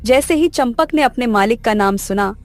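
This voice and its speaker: A woman's voice: a little high, animated, normal speed, faint music behind. A woman narrating a scripted children's moral story.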